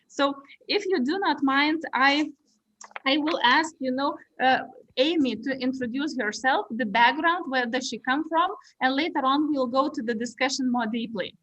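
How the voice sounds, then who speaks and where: A woman's voice: high-pitched, normal speed, fairly bright tone, no echo, muffled recording. A woman, moderating an online panel over a video call, hesitant with many restarts. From Lithuania. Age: 30s.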